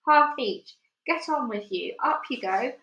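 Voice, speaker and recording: A woman voicing a fat, greedy, domineering storybook aunt who exclaims and demands in an excitable, disbelieving way. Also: sits high, a little slow, highs cut off, heavily acted. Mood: bossy. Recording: echoey room.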